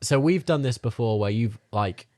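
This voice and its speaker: A man's voice: medium pitch, expressive, normal speed, darkish tone, no room sound. A man in his thirties co-hosting a parenting podcast, chatting in an easygoing, hesitant way. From southern England. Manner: easygoing, hesitant, trails off into laughs. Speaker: podcast co-host. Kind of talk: casual chat.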